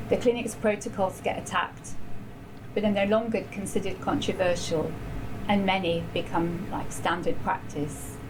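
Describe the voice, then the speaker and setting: Woman reading aloud, noisy room. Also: on the low side. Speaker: writer reading own story. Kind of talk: measured literary reading aloud. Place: live event in a bar. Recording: live bar event, clearly noisy background.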